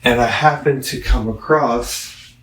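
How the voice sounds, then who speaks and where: Slow-talking man in an echoey room. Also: medium pitch, a bit bright. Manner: halting, digressive delivery with long pauses. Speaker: product reviewer. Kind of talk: casual rambling product review vlog. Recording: roomy echo, distant microphone.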